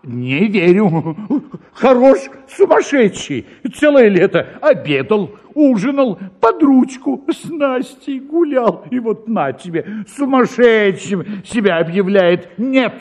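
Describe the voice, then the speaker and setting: A man's voice: high voice, big pitch swings, a little mellow, heavily acted. A male actor with a Russian accent playing an exasperated, stubborn older father-in-law, blustering and repeating himself in a theatrical radio comedy. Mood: incredulous. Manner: blustering, repetitive, drawn-out exclamations. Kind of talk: theatrical radio drama acting of a classic comic story.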